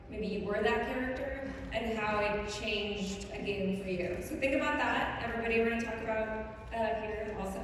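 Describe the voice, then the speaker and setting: A woman's voice: medium pitch, slight background noise. A woman moderating a convention panel, asking the panelists questions in a friendly way. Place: convention hall. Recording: echoey hall mic from far off.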